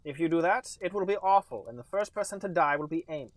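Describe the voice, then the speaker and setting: A man voicing a calm but grave doctor in a comedic story, speaking in stiff, formal, deliberate phrases. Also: on the high side, no room sound, natural voice. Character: calm but grave male doctor breaking bad news.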